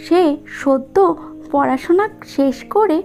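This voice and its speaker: A woman tells a scripted cartoon tale. Her voice is high-pitched and very mellow. Music plays under the narration. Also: slow.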